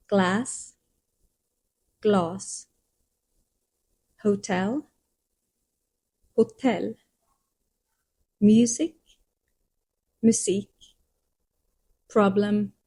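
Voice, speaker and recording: A woman with a husky, mellow voice reads scripted lesson narration. She sounds calm and reassuring and explains each point step by step. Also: medium pitch. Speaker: course narrator.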